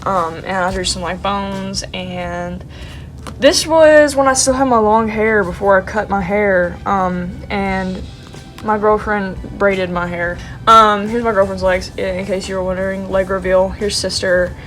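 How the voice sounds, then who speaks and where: A woman's voice: mid-range voice, a little slow, faint music behind, rough recording. Congested young woman rambling casually. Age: young adult. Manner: rambling, slangy and playful. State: congested, sniffling. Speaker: artist showing her sketchbook. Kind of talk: casual vlog chat.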